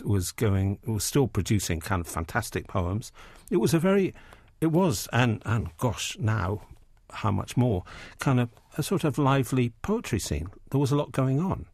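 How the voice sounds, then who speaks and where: A man's voice: fairly low pitch, a little mellow, no echo. A man in his sixties with an English accent, an interview guest who talks about books and poetry with many ums and restarts. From England. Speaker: interview guest, poet and editor. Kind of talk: relaxed radio interview conversation.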